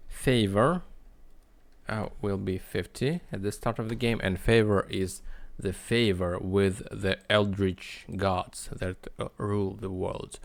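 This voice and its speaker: A man narrating a screen-recorded coding tutorial in a halting, explanatory way. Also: deep voice, vocal fry. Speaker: tutorial host.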